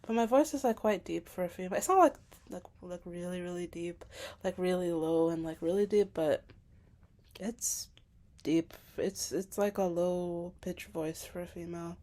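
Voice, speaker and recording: A hesitant young woman speaks to camera in a casual, personal monologue. Her speech is self-conscious and full of filler words. She keeps her voice soft, sometimes sinking to a whisper. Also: on the low side, normal speed. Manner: soft-spoken, at times dropping to a whisper. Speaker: vlogger.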